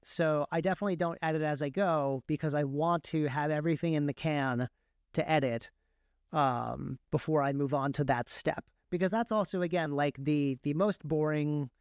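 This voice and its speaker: A man with a clear, resonant voice pitched a little high. He talks casually as an interview guest, explaining how he works and revising his phrasing along the way. The sound is dry and close. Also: no echo. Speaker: guest, indie author and audiobook narrator.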